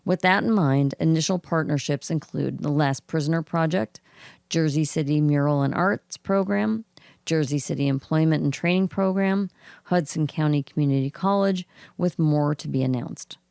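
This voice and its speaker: A woman's voice: sits low, moderate pace, no echo, clean studio sound. A woman podcast co-host with a froggy voice, reading cannabis news aloud in a casual way. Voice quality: froggy, gravelly voice. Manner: reads news items aloud at an even pace, with throat clearing.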